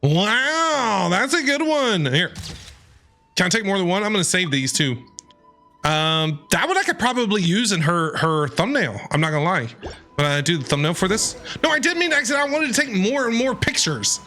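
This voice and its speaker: A woman game streamer talks casually and playfully as she plays. Her voice is bright and forceful with very lively pitch swings, close on the mic over faint game music. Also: moderate pace. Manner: playful, reactive, conversational. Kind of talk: casual live gameplay commentary.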